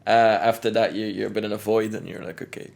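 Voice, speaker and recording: A young man from Belgium sits as a podcast guest. His voice sits low and turns gravelly, and he speaks in a relaxed, reflective way, laughing now and then between his points. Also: quick pace. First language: Dutch. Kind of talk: conversational interview.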